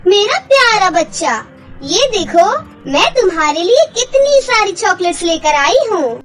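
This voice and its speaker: Kind fairy mother, voiced by a woman, protective, cartoon storytelling, very high, unhurried. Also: sing-song, soft background music, heavily acted. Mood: affectionate.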